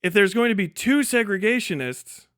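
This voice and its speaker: Male debater, clean smooth voice pitched a little high, combative and pedantic, dry sound. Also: animated, normal speed, fairly bright tone, no echo. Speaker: debater on a livestream. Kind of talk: heated political debate.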